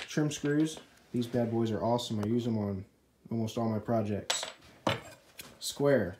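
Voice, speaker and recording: A young man vlogging a DIY woodworking build in a farm shed and porch workshop, joking and self-deprecating as he talks into a nearby phone camera. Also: medium pitch. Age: young adult. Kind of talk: casual workshop vlog. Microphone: phone camera held or propped nearby. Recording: echoey room with clear background noise.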